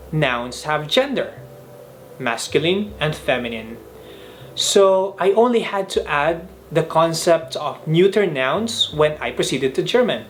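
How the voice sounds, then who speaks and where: A young man from the Philippines whose first language is Filipino tells his language-learning story in an earnest, measured way. His voice sits a little higher than most men's. A faint hiss of room noise stays behind him throughout. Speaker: language vlogger. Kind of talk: scripted vlog narration.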